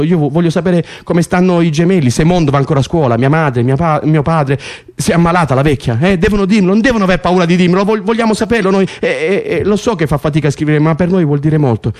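High-voiced, excitable actor as a male prisoner. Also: lightly acted. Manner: insistent, repeats himself, teasing. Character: John, excitable joking male political prisoner in South Africa. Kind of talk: naturalistic Italian stage-style radio acting.

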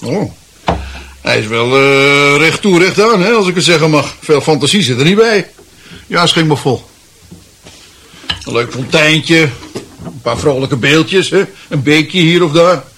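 An actor playing a cheeky, over-familiar freeloader, brash, drawling and bluntly opinionated, in a radio drama. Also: male voice, on the high side, lively intonation, measured pace, pauses often, a bit bright, a little hiss or hum. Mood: mocking, opinionated. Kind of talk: Dutch radio drama acting.

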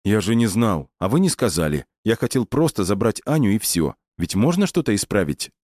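A man reading as a bold boy in an audiobook who reasons things out and justifies himself. The voice is low for the part, with a dry, close sound. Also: big pitch swings, brisk, darkish tone, no echo. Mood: apologetic. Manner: reasoning step by step.

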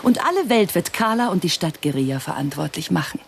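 A woman's voice: fairly low pitch, sing-song, a little fast, creaky, over loud music. An actress playing a cold, greedy female villain in a radio play, giving orders with sardonic, mock-polite menace. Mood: mocking, gloating.

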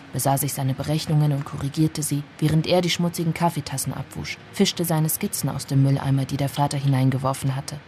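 An actress playing a melancholy narrator in a literary radio drama, telling of childhood friends in a measured, restrained way. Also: female voice, sits low, darkish tone, a little hiss or hum. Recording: music under the voice. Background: music.

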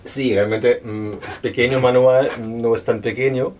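A non-native Spanish speaker presenting their book at a public talk, speaking hesitantly and thoughtfully with many pauses and fillers. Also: medium pitch, fairly even intonation, darkish tone, recorded from a distance, a little hiss or hum. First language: not Spanish. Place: local venue at a public presentation.

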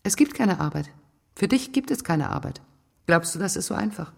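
A woman's voice: medium pitch, animated, moderate pace, vocal fry. An actress playing a cold, controlling older businesswoman in a radio drama, speaking in clipped, commanding phrases. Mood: cold, dismissive. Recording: music behind the voice. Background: music.

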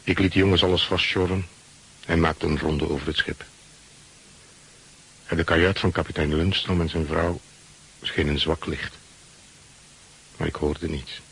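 A man's voice: a bass voice, fairly even intonation, unhurried, pauses often, a bit bright, exaggerated voice, low-quality recording. An actor playing a brooding, terse ship's first mate who narrates his story in a Dutch radio play. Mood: brooding, reflective. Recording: hissy old radio recording.

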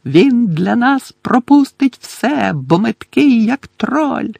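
A man voicing gloating school sports fans in an audiobook, singing a slow, drawn-out mocking chant. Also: extremely high pitch, very animated, vocal fry, darkish tone, dry recording, voice pushed up, full character voice.

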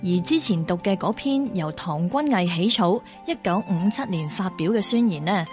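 A woman narrates a documentary in a steady, clear, explanatory way and links in the interview clips. Soft music sits faintly behind her voice. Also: on the low side, normal speed. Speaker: program host and narrator.

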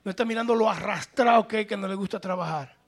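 A male pastor preaching a sermon, moving between plain conversational talk and loud, shouted passages. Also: sits high, fast, gravelly.

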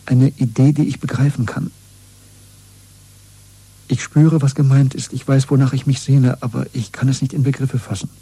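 An earnest, troubled rebel in a radio drama. His voice is extremely dark and husky, at a middling pitch. He speaks in short, halting, searching phrases. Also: flat delivery, no echo. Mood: yearning, troubled. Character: earnest, troubled male rebel seeking belief. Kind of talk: 1970s German radio play acting.

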